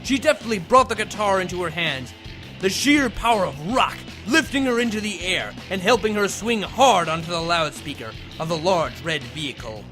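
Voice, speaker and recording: A male narrator with a high voice reads a comedic story aloud. His delivery is theatrical and mock-dramatic, with lively swings in pitch. Soft music plays behind him. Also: moderate pace, poor audio. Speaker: fan fiction reader, channel host.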